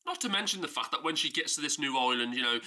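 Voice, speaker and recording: Man, stuffy cold, exasperated film rant, fairly high voice, very animated pitch. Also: fast talker, heavy background noise. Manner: exasperated, emphatic, mocking. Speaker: film review YouTuber.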